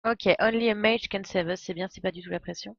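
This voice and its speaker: A woman's voice: mid-range voice, fairly even intonation, fairly quick, vocal fry, lo-fi sound. A woman giving casual, chatty, jokey live commentary as a game streamer.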